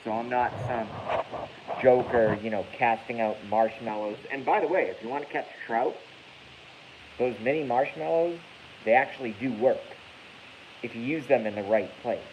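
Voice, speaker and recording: A man's voice: medium pitch, moderate pace. Rambling, cursing man in his thirties. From Massachusetts. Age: 30s. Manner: rambling, profane, self-correcting. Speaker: YouTube streamer. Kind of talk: casual live-stream rant. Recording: dull lo-fi with faint hiss.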